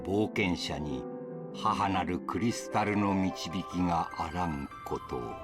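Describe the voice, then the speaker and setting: A man playing the grave narrator of an epic fantasy game, speaking in a measured, formal way. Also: low voice, close to an everyday voice. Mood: grave, solemn. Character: solemn male narrator of an epic fantasy legend. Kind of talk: solemn scripted game intro narration. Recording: loud music under the voice. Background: loud game music.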